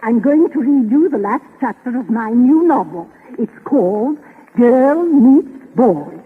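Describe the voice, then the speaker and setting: A very high, quite husky voice plays a fussy, meddling lady novelist, cooing and scolding gently. It is 1930s radio comedy, muffled and echoing. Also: lively intonation, a little slow, heavily acted.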